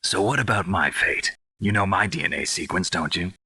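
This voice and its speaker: An actor playing a gruff, weary war veteran in a video game, stoic and blunt, with a raspy, clipped, deadpan delivery. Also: male voice, low voice, normal speed, no echo, full character voice. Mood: matter-of-fact. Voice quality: very raspy, gravelly, husky. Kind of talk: dramatic video game voice acting.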